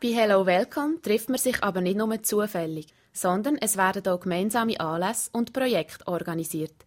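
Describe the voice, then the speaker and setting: Female reporter, young adult, Swiss German accent, scripted narration at a natural mid pitch. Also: normal speed. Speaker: student reporter.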